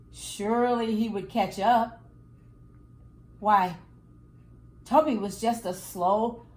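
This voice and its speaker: A woman reads a picture book aloud to children as a storyteller, with deliberate, dramatic phrasing. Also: mid-range voice. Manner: deliberate, dramatic phrasing with acted sound words. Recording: echoey, roomy sound.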